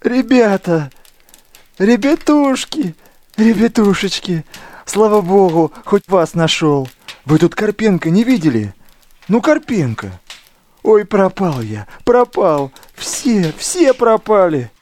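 A desperate, bewildered soldier of the war in an audio play. His voice is fairly high and very animated, plaintive and repetitive as he pleads. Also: slow pace, heavily acted. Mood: desperate pleading. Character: frantic, lost, pleading army lieutenant, wartime ghost. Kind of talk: audio drama acting.

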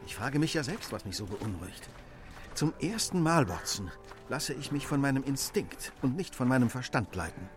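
Radio drama detective, male actor: courteous, urgent, incisive, highly varied intonation. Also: sing-song, normal speed, over music, lightly acted. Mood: urgent, uneasy. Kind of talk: German radio play acting.

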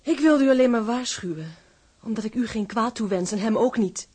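An actress playing a cool, haughty young fiancée in a radio drama, polite, composed and condescending. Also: female voice, on the high side, fairly quick, darkish tone, slightly rough. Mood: composed, warning.

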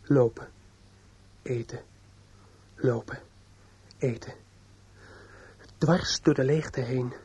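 An actor in a radio drama playing a despairing, obsessive judge's son who is outwardly polite and inwardly bitter. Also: male voice, mid-range voice, fairly even intonation, dark tone. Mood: bleak brooding. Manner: halting and hesitant with others, brooding and repetitive when alone. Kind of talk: radio drama acting with interior monologue. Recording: dull lo-fi old radio play recording.

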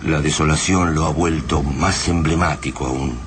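An actor with an Argentine accent narrating a radio drama war epic in a grave, literary manner. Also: male voice, fairly even intonation, moderate pace. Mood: grave, somber. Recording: noisy rumbling battle ambience behind the voice.

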